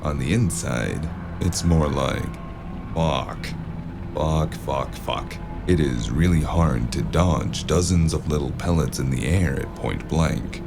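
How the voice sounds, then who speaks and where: A man playing a weary, sardonic hard-boiled investigator, narrating a noir audio drama in a gravelly, deadpan way. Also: rumbling low, slow pace, music in the background, full character voice. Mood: brooding, wry. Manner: deadpan, dry, brooding.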